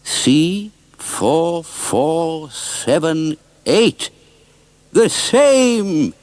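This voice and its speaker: A man voicing an earnest, excitable owner of a vintage car in a children's story. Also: a little high, very slow, no echo, voice pushed up, full character voice. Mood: astonished, excited.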